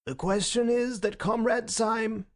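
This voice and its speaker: Guy narrating as cold male chairman, impersonal procedure voice, slightly high, novel reading. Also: fairly even intonation, a little slow. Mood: mechanical, cold. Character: Mister Buttons, cold mechanical male chairman.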